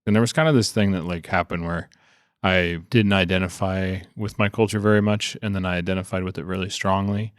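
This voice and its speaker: A man's voice: deep voice, steady tone, a little fast, no echo, clean studio sound. A man in his thirties from coastal Alaska, telling his story casually and informally. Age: 30s. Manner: informal, frequent "like" and "you know".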